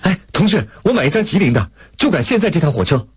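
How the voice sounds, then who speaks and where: A man's voice: medium pitch, wildly swooping pitch, fast talker, husky, no room sound, studio quality, pitched above the performer's own voice, exaggerated voice. A man voicing an earnest, eager young male soldier in an audiobook story, speaking with urgent exclamations. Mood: eager, helpful.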